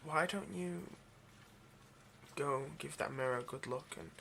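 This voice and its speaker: A man's voice: mid-range voice, measured pace, gravelly, fairly bright tone, slight background noise. A man playing a nervous, obsessive vampire lab technician in an intimate ASMR roleplay, with hesitant, stammering, overly polite delivery. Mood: secretive, earnest.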